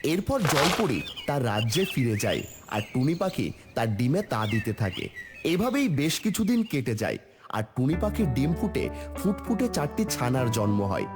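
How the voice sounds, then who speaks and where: A man's voice: mid-range voice, big pitch swings, normal speed, over music. A man narrating a fairy tale as a scripted storyteller.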